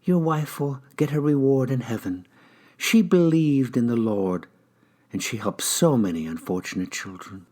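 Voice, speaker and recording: A man voicing a pious, consoling lady at a funeral in an audiobook story, with a gentle, soothing manner. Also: mid-range voice, animated, moderate pace, voice pushed up, lightly acted.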